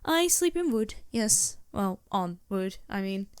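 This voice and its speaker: A woman's voice: mid-range voice, sing-song, takes it slowly, clean tone, no echo, studio quality. A woman playing a polite, anxious vampire posing as a traveling merchant in a fantasy audio roleplay, speaking hesitantly with small stammers and courteous apologies. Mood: grateful, polite. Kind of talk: fantasy roleplay audio drama, addressing the listener.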